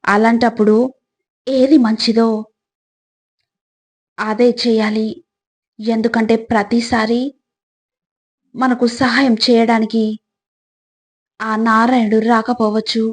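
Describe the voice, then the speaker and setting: A woman reads scripted motivational reflections in a measured way, addressing the listener directly like a narrator of life lessons. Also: a little high, little pitch movement.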